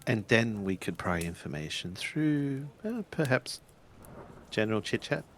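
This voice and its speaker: A low-voiced samurai acted by a man in a tabletop game. He is formal and courteous, picking his words with some hesitation. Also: big pitch swings, unhurried, slight background noise. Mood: polite.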